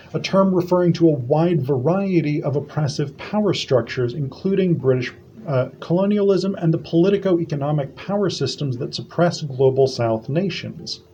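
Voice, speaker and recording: A man reads aloud a prepared academic paper, formal and measured. His voice sits a little high but sounds mellow and warm. The audio is dull and lo-fi. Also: a little hiss or hum. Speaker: academic presenter. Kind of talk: reading a prepared conference paper.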